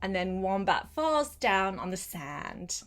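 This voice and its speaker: An older woman, a grandmother, reads a picture book aloud to a young child, gently adding simple asides and questions. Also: medium pitch, big pitch swings, slow pace. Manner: gentle, simple child-directed talk with questions and short phrases.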